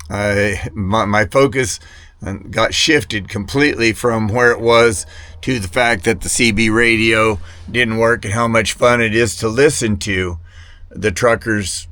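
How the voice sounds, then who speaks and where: A man's voice: fairly low pitch, fairly bright tone, no echo. A man chatting casually and hesitantly to viewers as a hobbyist vlogger, often wandering off mid-thought. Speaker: vintage radio hobbyist vlogger. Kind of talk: rambling casual product chat to camera.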